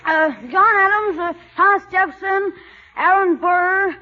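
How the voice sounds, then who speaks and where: An actor playing a cheeky, cagey schoolboy in a 1940s radio comedy. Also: male voice, extremely high pitch, fairly even intonation, measured pace. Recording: dull, faintly noisy 1940s radio recording.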